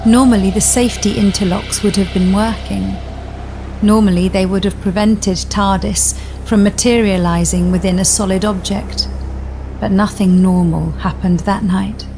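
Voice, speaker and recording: An actress playing a solemn alien granddaughter, narrating an audio drama in a measured, storytelling way. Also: female voice, mid-range voice, steady tone, a little mellow, faint music behind, lightly acted. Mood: ominous. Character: solemn granddaughter of an alien time traveller, recounting tragedy.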